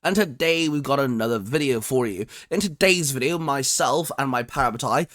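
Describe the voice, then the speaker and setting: Man, channel host, casual review chat, conversational, mid-pitched voice. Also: normal speed, fairly bright tone. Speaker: host of a fan video channel. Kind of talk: casual chatty video review.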